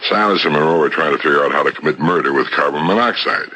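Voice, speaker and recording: An actor playing a shrewd, patient investigator in a 1970s radio mystery, probing with deliberate, folksy hesitations. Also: male voice, extremely low pitch, bright, forceful tone, breathy edge, lo-fi sound, exaggerated voice. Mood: cautious. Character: shrewd, patient male investigator piecing together a murder. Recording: old radio broadcast recording.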